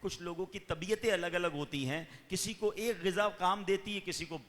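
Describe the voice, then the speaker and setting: A fervent male preacher with a fairly high, bright, forceful voice that climbs to raised peaks and slows into drawn-out chanting. His sermon rings with a long reverb, as if spoken in a large hall.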